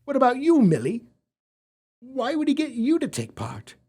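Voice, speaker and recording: A flustered university professor played by a man, earnest and easily shocked. The voice is husky and swings widely in pitch, with dramatic audio drama delivery. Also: huge pitch swings, normal speed, darkish tone, full character voice. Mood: shocked, indignant.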